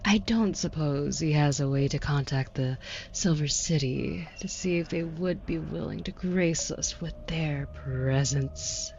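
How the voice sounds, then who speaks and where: A woman's voice: low-pitched, unhurried, vocal fry, a little mellow, muffled recording, slight background noise. A woman playing a haughty, predatory demon buyer in an intimate audio roleplay, taunting with condescending, mock-sweet cruelty. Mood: menacing, taunting.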